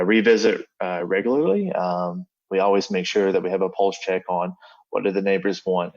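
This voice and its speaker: A man with a fairly low voice gives semi-formal remarks as a community association president. He speaks in a measured, halting way over slightly dull online meeting audio.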